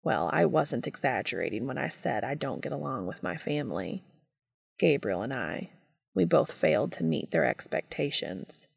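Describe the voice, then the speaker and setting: A woman reading a story aloud and voicing a reserved young man who speaks quietly and dryly. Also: rumbling low, sing-song, moderate pace, creaky, husky, pitched below the performer's own voice, full character voice. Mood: dry, wry. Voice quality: dry and quiet.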